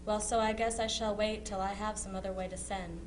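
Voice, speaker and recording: Through clear hum and noise comes a woman playing a Civil War era sister reading her letter. She is chatty and teasing toward her soldier brother, in an ordinary middle-pitched voice. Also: flat delivery, a little fast, natural voice. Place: live historical society presentation. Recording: noisy live recording with hum.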